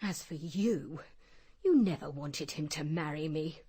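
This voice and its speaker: A woman's voice: low voice, expressive, measured pace, dark tone, husky, exaggerated voice. A woman playing a wounded, defensive and fiercely loyal character in a radio drama, pleading with raw emotion. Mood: distraught, bitter.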